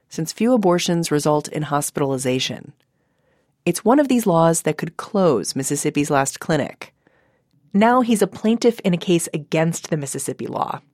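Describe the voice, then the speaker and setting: American female narrator for a radio feature, lowish voice, dry studio sound, faint music beneath. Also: a little mellow, dry recording. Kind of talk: scripted narration.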